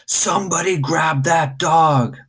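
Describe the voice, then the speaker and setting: A man's voice: a little high, slow pace, slightly rough, pitched above the performer's own voice, heavily acted. A man voicing an irate, flustered grocery store manager in a children's storybook, exclaiming his lines. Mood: furious shouting. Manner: shouting, exclamatory.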